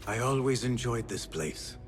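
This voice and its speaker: A man playing a young, dutiful and curious assassin in a video game cutscene, with measured, formal phrasing. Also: mid-range voice, lively intonation, soft background music, natural voice. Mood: calm.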